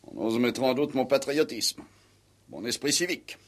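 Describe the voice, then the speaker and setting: An actor in a 1960s French radio play, playing a proud, eccentric ageing war veteran with a pompous, emphatic delivery. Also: male voice, medium pitch, expressive, moderate pace. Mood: indignant.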